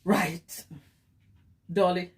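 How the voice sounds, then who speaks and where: A woman's voice: lowish voice, level tone, extremely slow. A woman with a Ghanaian accent hosting a cake decorating livestream, chatting casually with her viewers and calling them "my people". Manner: chatty, addresses viewers as "my people", mixes in Twi.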